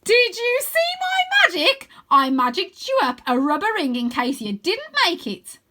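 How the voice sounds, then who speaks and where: A woman voicing an excitable, boastful young wizard boy in a storybook, with showy, emphatic delivery. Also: very high-pitched, unhurried, voice pushed up, full character voice. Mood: excited boasting.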